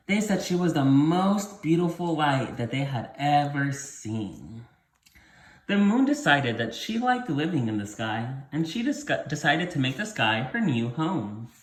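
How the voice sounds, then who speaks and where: A man's voice: a little high, fairly bright tone, reverberant. A man reading a story aloud to young children as their teacher, explaining things simply and repeating key points.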